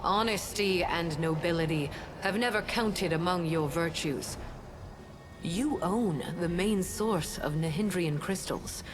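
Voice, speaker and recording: An actress playing a righteous, stern goddess in a video game, speaking in a grave, measured and formal manner. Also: female voice, lowish voice, music in the background, lightly acted. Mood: stern, accusing. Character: solemn, righteous goddess of justice, stern and noble.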